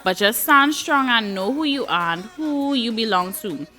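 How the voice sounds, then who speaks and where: A woman's voice: fairly high pitch, lively intonation, normal speed, pushed and bright, faint music behind. A woman hosting a live talk show, reading out viewer comments and reacting to them in a chatty way.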